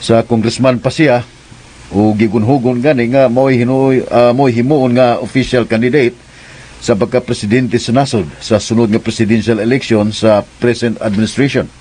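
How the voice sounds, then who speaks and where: Man acting serious, slightly husky radio-drama leading man. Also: medium pitch, steady tone, normal speed, a little mellow, lightly acted. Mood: earnest. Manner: stern, earnest. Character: serious, easily irritated, commanding young man.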